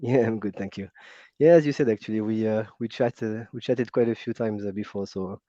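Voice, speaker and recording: A man with a French accent talks slowly through a call-in chat, stopping often on fillers and restarting his sentences. His voice is fairly low and mellow. The audio is dry and a bit muffled, like a video call. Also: dry recording. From France. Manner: hesitant, many fillers and restarts. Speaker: caller. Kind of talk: casual call-in conversation.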